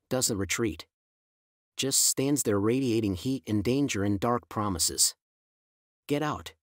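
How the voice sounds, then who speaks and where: A man reading a first-person audiobook in a measured, brooding storytelling manner. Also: medium pitch, no room sound, clean studio sound. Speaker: audiobook narrator. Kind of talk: scripted first-person narration.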